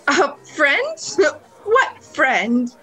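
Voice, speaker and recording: A woman's voice: sits high, sing-song, drawn-out words, pushed and bright, faint music behind, full character voice, poor audio. A woman playing a frustrated, struggling nightclub singer in an amateur radio play. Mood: evasive. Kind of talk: hobby radio play acting.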